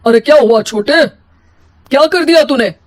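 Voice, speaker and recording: A woman's voice: high voice, moderate pace, voice pushed up, natural voice. A woman voicing a caring, responsible elder brother in an emotional cartoon story. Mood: concerned. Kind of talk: melodramatic cartoon story acting.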